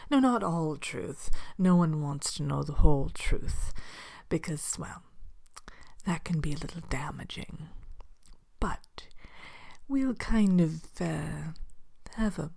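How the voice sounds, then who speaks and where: A sly, courteous evil queen, played by a woman, murmurs to a customer in an ASMR roleplay. Her voice is low and dark, mostly a breathy whisper with a dry creak. She sounds genteel and playfully sinister as she tends her enchanted shop. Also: expressive, slow, full character voice. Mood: soft, businesslike.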